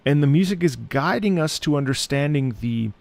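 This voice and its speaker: A man's voice: a little high, animated, moderate pace, vocal fry, darkish tone, no room sound. A man giving reflective reaction commentary on music, musing and searching for his words as he goes.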